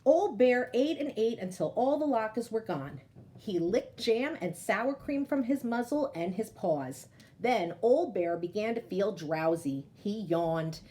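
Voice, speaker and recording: A woman's voice: mid-range voice, a little slow. A woman storyteller reading a picture book aloud to children, expressively and speaking straight to her young listeners. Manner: animated, addresses young listeners directly.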